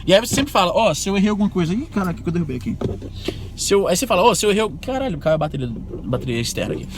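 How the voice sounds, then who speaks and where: Fast, slangy Brazilian man outdoors. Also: sits high. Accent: neutralized Brazilian Portuguese. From Fortaleza, Brazil. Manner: slangy, animated, swears freely. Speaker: YouTuber. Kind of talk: casual vlog monologue. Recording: loud street and car noise.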